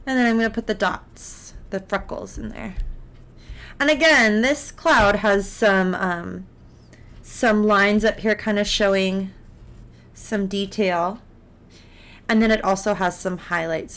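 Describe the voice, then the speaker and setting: A woman teaching art in a casual step-by-step drawing tutorial, encouraging and unhurried as she talks through each step while she works. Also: mid-range voice, fairly bright tone. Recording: clear background noise, drawing sounds.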